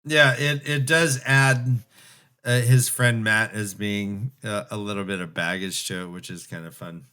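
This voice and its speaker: A man's voice: lowish voice, fairly even intonation, a little slow, pushed and bright. A man co-hosting a podcast, chatting casually and in a rambling, imaginative way about fantasy books. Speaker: co-host of a fantasy book re-read podcast. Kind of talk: casual book discussion.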